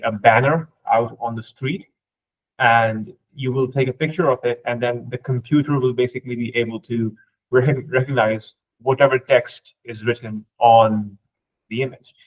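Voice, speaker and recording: Male guest expert, native Urdu speaker, mid-pitched, didactic informal explainer, dull band-limited audio. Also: steady tone, normal speed. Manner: didactic, often checks with "right?". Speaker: podcast guest, researcher.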